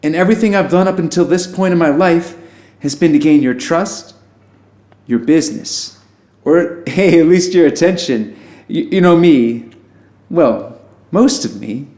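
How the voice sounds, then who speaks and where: A man's voice: fairly high pitch, animated, a little slow, long pauses, darkish tone. A man playing an affable, nervous alien who poses as human, a pacifist pessimist speaking in wry, rambling, conversational asides in an audio drama. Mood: wry, confiding. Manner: informal, rambling, self-interrupting, wry asides. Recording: roomy echo, music underneath.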